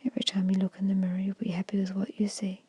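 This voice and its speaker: A woman whispers a guided ASMR hypnosis meditation in a breathy, hushed voice, giving gentle, repetitive suggestions. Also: medium pitch, monotone, racing, no room sound, highs cut off.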